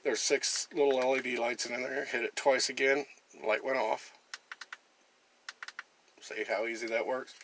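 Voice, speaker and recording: A man's voice: medium pitch, fairly bright tone, slight background noise. A man talking casually through a product demonstration in a plain-spoken, folksy and chatty way. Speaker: gear reviewer and camper.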